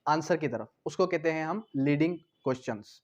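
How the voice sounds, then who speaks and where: A Pakistani-accented man delivers an explanatory lecture in a noticeably high voice. He teaches step by step, repeating terms and asking questions before answering them himself. The recording is somewhat dull and lo-fi. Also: moderate pace. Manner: didactic, repetitive, asking and answering own questions. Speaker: law teacher. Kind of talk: explanatory lecture mixing Hindi-Urdu with English legal terms.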